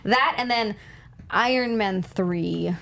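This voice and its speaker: A woman's voice: medium pitch, slow, gravelly, fairly bright tone. Frank woman chatting on a panel show. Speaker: panelist on a movie news talk show. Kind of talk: casual chat.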